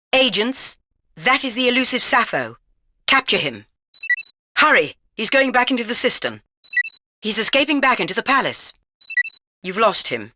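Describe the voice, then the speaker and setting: A woman's voice: fairly high pitch, noisy background. A woman playing a stern British spy chief, giving clipped, formal orders as video game mission briefings. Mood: urgent.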